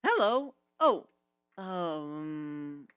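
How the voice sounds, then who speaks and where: A bookish female pony librarian, put on by a man talks sweetly and nervously. The pitch is lifted high and moves about brightly, with a polite, halting delivery fit for a cartoon fantasy. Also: big pitch swings, clear, resonant tone, no room sound, exaggerated voice. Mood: startled.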